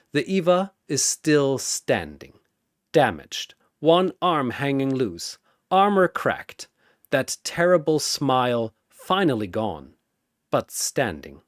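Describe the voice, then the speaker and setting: A man's voice: medium pitch, no room sound, studio quality. A man narrating a scripted battle story in a theatrical, suspenseful manner.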